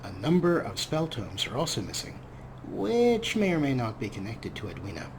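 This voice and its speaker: A man's voice: medium pitch, huge pitch swings, moderate pace, noisy background. Sarcastic man commenting on gameplay. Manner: sarcastic and self-mocking, casual swearing. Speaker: let's play host.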